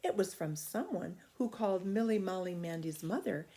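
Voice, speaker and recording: A woman reading a children's story aloud in expressive narration. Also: mid-range voice, moderate pace.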